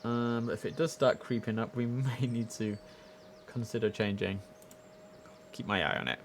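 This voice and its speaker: A British man hosts a casual game stream in an average-pitched voice. He talks informally and addresses his audience directly as he comments on the play. A faint bed of noise and ambience sits behind him. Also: steady tone, normal speed. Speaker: livestream host and gamer. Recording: clear background noise and ambience.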